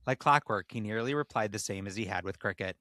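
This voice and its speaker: A man's voice: on the low side, quick pace, pushed and bright, no room sound, studio quality. A man hosting a comedy podcast, reading a story aloud as narrator with casual banter. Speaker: podcast host and reader.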